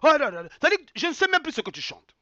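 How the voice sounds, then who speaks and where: A man's voice: very high voice, sing-song, racing, bright, forceful tone, no room sound, muffled recording. A man from Congo, delivering a heated evening rant to his viewers. He repeats his points angrily and slips local words into his talk. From Republic of the Congo. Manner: angry, emphatic, repeats phrases, drops Lingala words. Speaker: online commentator.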